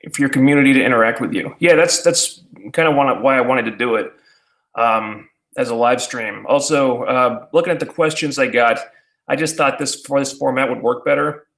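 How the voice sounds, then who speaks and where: A man's voice: medium pitch, creaky, a bit bright. Rambling man in an echoey room. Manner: halting, many fillers and restarts. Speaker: YouTuber answering viewer questions. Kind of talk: casual rambling chat.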